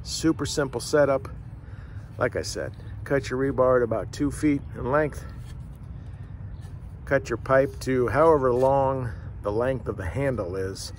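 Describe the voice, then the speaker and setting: A man, hosting a casual outdoor how-to video with a relaxed, folksy way of talking. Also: medium pitch, a little slow. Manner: relaxed, folksy, repeats himself with fillers. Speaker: fishing channel host. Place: outdoors by a wooded riverbank. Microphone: camera on a tripod a few feet away. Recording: outdoor noise, traffic in the background.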